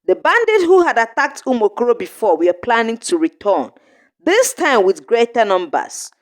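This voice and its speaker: Animated, high-voiced woman storyteller. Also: moderate pace. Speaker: storyteller, narrator. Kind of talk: scripted narration of an African folktale.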